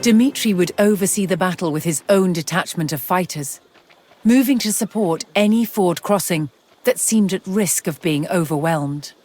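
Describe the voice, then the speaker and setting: A narrator delivering scripted, documentary-style storytelling for a game in a measured, dramatic manner. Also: medium pitch, a little mellow. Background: music.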